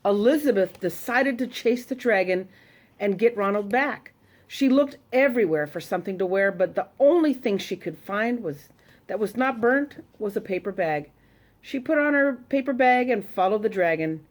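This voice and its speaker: Woman, storyteller, children's story, lively swooping pitch, expressive. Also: normal speed. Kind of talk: reading a children's story aloud.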